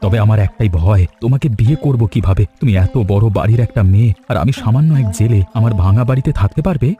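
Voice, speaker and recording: A man's voice: on the low side, fast, highs cut off, soft background music, natural voice. A man voicing a poor young fisherman in love, earnest and anxious, in a cartoon story. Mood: tender, worried. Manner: earnest, plaintive. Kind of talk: acted cartoon story dialogue.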